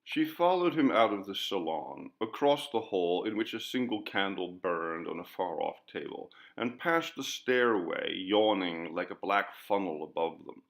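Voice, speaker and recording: A man reading a literary ghost story as an audiobook, in a measured, dramatic manner. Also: deep voice, animated, gravelly, a bit bright. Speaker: audiobook reader.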